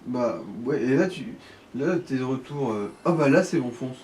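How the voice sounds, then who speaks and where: Mellow-voiced man advising a gamer. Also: mid-range voice, lively intonation, normal speed. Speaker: co-commentator advising the player. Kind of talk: casual chat during gameplay. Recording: faint background noise.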